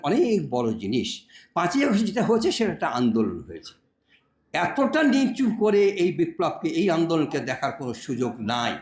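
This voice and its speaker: An older man with a Bangladeshi accent gives an impassioned political speech, emphatic and didactic, driving his points home with rhetorical questions. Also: sits high. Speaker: public speaker. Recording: echoey room sound.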